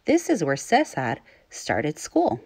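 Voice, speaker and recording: A woman teacher reading aloud to young children, phrasing things clearly and putting questions to her listeners. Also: low-pitched, wildly swooping pitch, takes it slowly, a little mellow, no room sound. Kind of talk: children's read-aloud narration.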